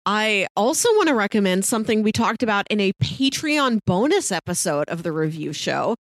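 A woman speaks as a podcast co-host, recommending things in a casual, conversational style. She is earnest and enthusiastic, building points in emphatic lists. Her pitch is average and the sound is dry and close. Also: lively intonation, a little fast, dry recording. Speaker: podcast co-host reviewing shows.

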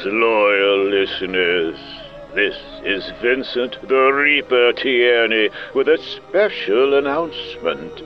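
A man announces like a horror host, slow and theatrical, with big swoops in pitch. His spooky delivery comes through a dull, lo-fi recording over music. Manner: spooky, drawn-out, dramatic delivery. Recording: dull lo-fi radio-style sound with music. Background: faint music.

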